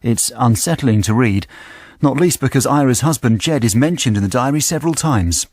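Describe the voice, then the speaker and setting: A man's voice: medium pitch, animated, moderate pace, a little mellow, dry recording. Dry, close male radio announcer. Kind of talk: scripted programme introduction. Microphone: close dry studio mic.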